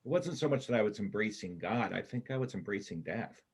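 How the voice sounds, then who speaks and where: A man acting as a bookish ex-convict drifter in a stage reading, husky and fairly low. He talks in a blunt, wry, colloquial way, like a loner who doubts his own worth. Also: expressive, brisk. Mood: weary, reflective. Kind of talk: stage reader's theater dialogue.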